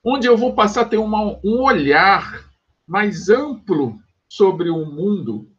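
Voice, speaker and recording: A Brazilian man delivers a recorded sociology lesson from home, explaining ideas patiently and stopping to check that his students follow. His voice sits high and moves a lot in pitch, with a husky edge. The recording is dull and lo-fi. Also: animated, fairly bright tone. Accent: Brazilian Portuguese. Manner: explanatory, checks understanding with quick asides. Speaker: sociology teacher. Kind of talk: recorded online class lecture.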